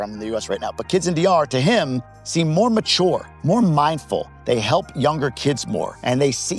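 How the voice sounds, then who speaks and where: A man in his forties from the United States talks straight to camera in a relaxed, conversational way. He is candid and likes to drop in a joke, and his voice sits at a middle pitch. Faint music plays underneath. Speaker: vlogger. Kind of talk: casual to-camera talk.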